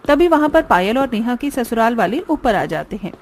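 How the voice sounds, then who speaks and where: A woman's voice: on the high side, very animated, moderate pace, slight background noise. A woman reading scripted narration for a cartoon story.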